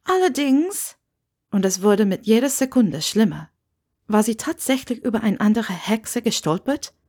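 A woman's voice: mid-range voice, lively intonation, moderate pace, dark tone, slightly rough. A woman reading a scripted story as an audiobook narrator.

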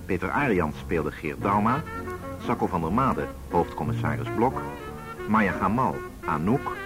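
Low-voiced male radio announcer over music. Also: big pitch swings, measured pace. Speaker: closing announcer. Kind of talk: scripted radio credits.

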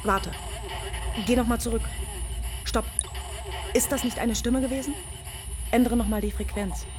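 An actress playing a tired, matter-of-fact female astronaut in a radio play, giving brisk, clipped commands. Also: fairly high pitch, fairly even intonation, noisy background, close to an everyday voice.

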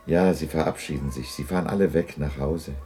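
A man's voice: very deep voice, a little fast, dark tone, a little hiss or hum. A man acting a remorseful old miser moved by his memories in a 1960s German radio drama. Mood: sad, remorseful. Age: older.

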